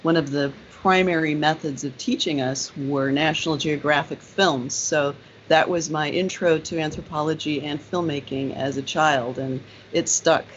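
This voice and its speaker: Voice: mid-range voice, steady tone, moderate pace. A person from Greece taking part in a conversational radio discussion. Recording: noisy, slightly dull lo-fi call.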